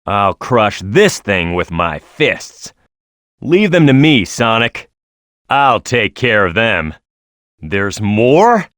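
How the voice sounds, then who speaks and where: Brash, lively cartoon echidna brawler. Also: male voice, on the low side, takes it slowly, dry recording, clean studio sound, exaggerated voice. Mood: confident, combative. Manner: brash, punchy, emphatic delivery. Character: tough, hot-headed male cartoon echidna brawler, confident and gruff. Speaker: video game character. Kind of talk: video game voice acting, tutorial hints and battle shouts.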